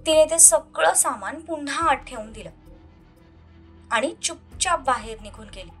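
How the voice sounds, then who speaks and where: A woman with a high-pitched voice narrates a romantic audio drama. Her delivery is scripted and dramatic. Faint music plays behind her. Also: lively intonation, unhurried, fairly bright tone.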